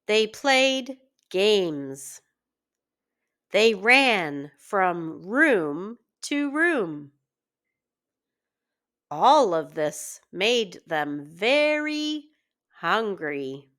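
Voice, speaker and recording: Female teacher-narrator for children's read-alouds: extremely slow, deliberate phrasing, lively pitch movement. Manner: deliberate, word-by-word phrasing for early readers.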